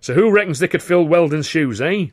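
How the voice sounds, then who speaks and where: A man's voice: on the high side, lively intonation, fairly quick, no echo, clean studio sound, voice pushed up. A man voicing a pompous, scornful boss of a comedy company in an audiobook, talking in emphatic colloquial bursts. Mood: brisk, challenging. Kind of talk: audiobook character voice.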